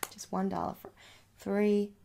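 A young adult woman doing a soft, reassuring ASMR makeup store roleplay, chatting casually and repeating herself as a shopkeeper. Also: medium pitch, little pitch movement, a little slow, vocal fry. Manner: reassuring, repetitive, conversational. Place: small room at home.

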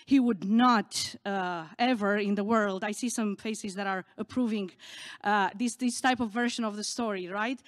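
A middle-aged woman, a native Romanian speaker, giving a candid, earnest personal story from a conference stage into a podium microphone, a little halting. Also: medium pitch, no echo, studio quality.

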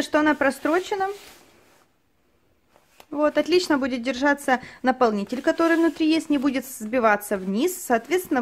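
A woman hosting her own channel, giving a chatty, thorough product review with many fillers while she handles the item on a table indoors. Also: high voice. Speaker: channel host reviewing clothing. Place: indoors at a table, filming only her hands.

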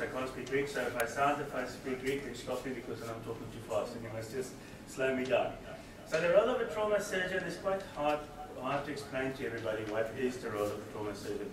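A man in his forties with a South African accent giving an informal conference lecture from a lectern, with many ums and restarts. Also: mid-range voice. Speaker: trauma surgeon giving a keynote. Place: darkened lecture room, standing at a lectern. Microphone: lectern mic picked up by a distant camera. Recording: echoey room, far camera, steady background noise.

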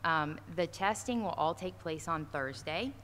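A woman's voice: sits low, animated, measured pace, fairly bright tone. A woman administrator answering questions at a school board meeting, casual with many fillers, at a podium mic in a large auditorium. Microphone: standing podium mic from a far camera. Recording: echoey hall, faint noise.

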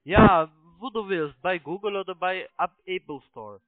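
A man who is not a native speaker, giving instructions on a phone call in halting, broken sentences as a call centre agent. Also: sits high, no echo. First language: not German. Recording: tinny narrowband phone line.

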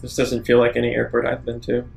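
A man's voice: mid-range voice, completely flat delivery, quick pace, gravelly, a little mellow, soft background music. A man improvising casually in character as a player in a tabletop role-playing game.

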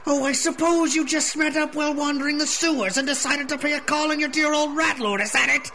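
An actor playing a pompous, menacing villain who lords over child thieves in a 1930s-style radio drama, speaking with mock courtesy. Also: male voice, very high voice, fairly even intonation, brisk, bright, forceful tone, a little hiss or hum, exaggerated voice. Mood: sneering, alarmed. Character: theatrical villain Rat Lord ruling child thieves from a sewer.

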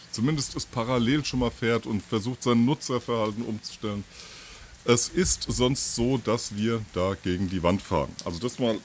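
A man in his fifties giving an informal, halting monologue to camera, thinking aloud with pauses and repeats. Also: medium pitch. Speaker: video channel host. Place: outdoors on a terrace or balcony by trees. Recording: outdoor ambient noise.

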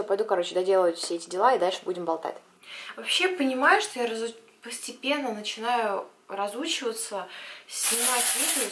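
A young woman in her twenties talks to her vlog audience in an informal, chatty way. Her voice is mid-pitched and a little husky and worn. She is recorded at home in a small room, talking into a camera held close. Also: a little hiss or hum. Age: 20s. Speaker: vlogger. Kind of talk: casual chat to camera.

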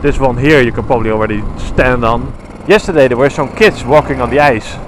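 A man's voice: mid-range voice, brisk. A man with a Dutch accent talking casually while riding a motorcycle, rambling and correcting himself as he goes, recorded on a helmet camera mic. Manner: rambling, chatty, self-correcting. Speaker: motovlogger. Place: outdoors on a motorcycle on country roads. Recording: engine and wind noise under voice. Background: motorcycle engine and wind.

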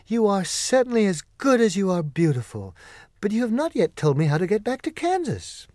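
A male reader puts on an earnest little girl from a children's tale. The voice is lifted high and eager, moving up and down freely. It is recorded dry. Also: huge pitch swings, moderate pace, darkish tone, no echo. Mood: admiring, eager. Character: earnest, sweet-natured young girl.

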